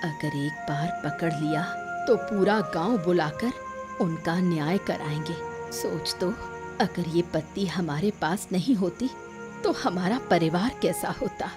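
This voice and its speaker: Actress as elderly cartoon mother, lowish voice, unhurried, firm, resolute, music behind. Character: determined old village mother set on justice. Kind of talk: animated moral story dubbing.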